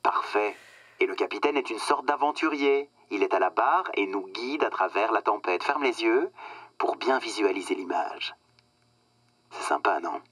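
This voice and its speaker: An actor playing a smug, cynical corporate boss in a satirical radio drama, slick and condescending, coaching with emphatic pauses. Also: male voice, fairly low pitch, lively intonation, fairly bright tone, no room sound. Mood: grandiose, sly.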